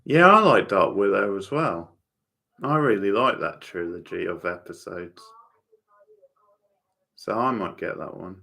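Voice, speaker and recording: A man's voice: deep voice, animated, measured pace, vocal fry, long pauses, bright, forceful tone. A man hosting a livestream watchalong, giving casual commentary and chatting with viewers. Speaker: livestream host.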